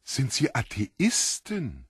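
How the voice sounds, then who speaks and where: Devilish foreign stranger: courtly, mocking, very husky, swooping delivery for audio drama. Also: male voice, mid-range voice, wildly swooping pitch, slow pace, no echo, clean studio sound, exaggerated voice. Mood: delighted politeness. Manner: theatrical, effusively polite, mocking.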